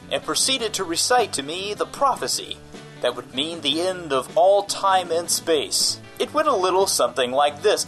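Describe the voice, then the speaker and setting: A man's voice: on the high side, fairly bright tone, low-quality recording. A man playing a vain, monstrous undead news anchor, delivering a comedic radio monologue in a pompous, theatrical way. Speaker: radio news anchor giving traffic and weather.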